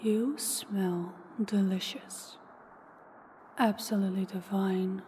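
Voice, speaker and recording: Casting: actress as old, powerful vampire woman for audio roleplay; mid-pitched, deliberate, taunting menace. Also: fairly even intonation, dark tone, a little hiss or hum, close to an everyday voice. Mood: teasing, menacing. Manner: slow, deliberate, taunting, dropping into whispers. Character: ancient, powerful female vampire, former huntress, teasing and menacing. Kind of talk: intimate audio roleplay acting.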